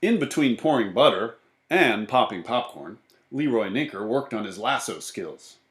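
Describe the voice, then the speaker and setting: A man reading a children's storybook aloud in animated narration, recorded in an echoey room. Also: on the low side, normal speed. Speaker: book reader.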